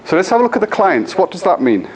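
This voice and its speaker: English man giving a lively conference talk. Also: sits high, fast, slight background noise, rough recording. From England. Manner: engaging, asks the audience frequent questions. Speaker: conference speaker. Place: conference room.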